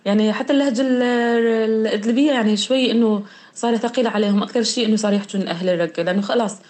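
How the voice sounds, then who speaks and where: A woman's voice: mid-range voice, racing, darkish tone. A woman co-hosting a podcast, chatting casually and laughing often, with a Deir ez-Zor Euphrates Arabic accent. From eastern Syria. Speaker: podcast co-host. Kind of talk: casual chat.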